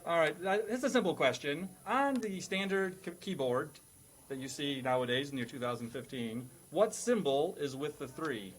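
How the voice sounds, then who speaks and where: A man's voice: a little high, animated, normal speed. A man hosting a podcast quiz, mixing scripted introductions with playful questioning in a mock-schoolmasterly manner. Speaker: quiz show host.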